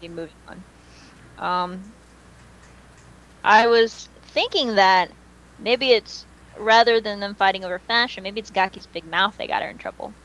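A woman co-hosting a casual fan podcast, talking in an animated, slangy way and swearing casually. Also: medium pitch, unhurried, pauses often, low-quality recording. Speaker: podcast co-host.

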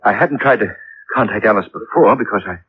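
An actor playing a distraught, apologetic airline manager in a 1950s radio drama, stammering and halting. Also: male voice, on the low side, breathy edge. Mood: shaken. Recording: dull old radio broadcast recording.

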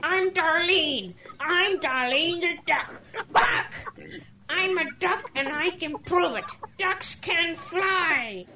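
A woman's voice: very high-pitched, slow, noisy background. A woman playing a stubborn, childlike young calf who insists on being a duck, in a children's audio drama. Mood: defiant.

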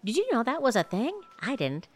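A woman plays a flustered shopper stuck at a poetry reading. Her high voice swings lively up and down as she fumbles through polite protests and hushed remarks. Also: a little fast, no echo. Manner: flustered, later whispering asides.

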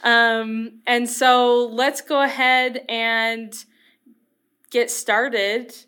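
A woman's voice: on the high side, flat delivery, extremely slow, bright, forceful tone, clear, resonant tone. Upbeat woman hosting a podcast. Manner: enthusiastic, encouraging. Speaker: podcast host.

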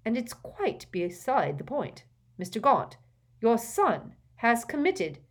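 A woman's voice: on the high side, wildly swooping pitch, slow pace, voice pushed up, exaggerated voice. Lady reading as a proper official wizard guy, polite but stern, storybook style. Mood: wary, firm. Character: formal, firm male government official wizard. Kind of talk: audiobook character voice.

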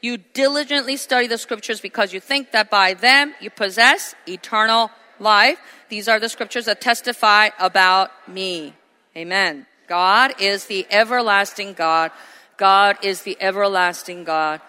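A woman's voice: medium pitch, unhurried, bright, forceful tone, smooth. A woman pastor preaching a sermon, earnest and asking her congregation questions. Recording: hall reverb.

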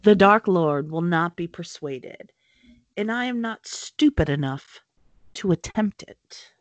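A woman reading aloud and voicing a cold, controlled older male wizard who speaks flatly and quietly. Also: fairly low pitch, no room sound, voice pushed down. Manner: flat, quiet, measured delivery.

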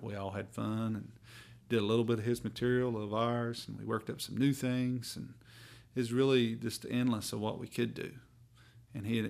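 Gravelly North Carolina man, flat drawl. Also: on the low side. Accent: Southern US, North Carolina. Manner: rambling, folksy, drawn-out phrasing. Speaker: bluegrass musician guest. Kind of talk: casual storytelling interview. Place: hotel room.

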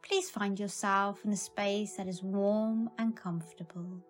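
A woman narrating a scripted guided meditation in a calm, soothing, measured manner. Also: mid-range voice, faint music behind.

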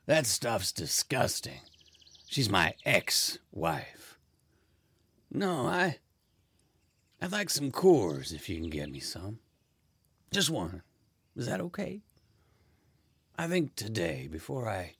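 An actor playing a weary, wry dying man in hospice care in an audio play, speaking in a halting, wistful way. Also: on the low side, sing-song, vocal fry, a bit bright, breathy edge, dry recording, heavily acted. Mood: wry, fond.

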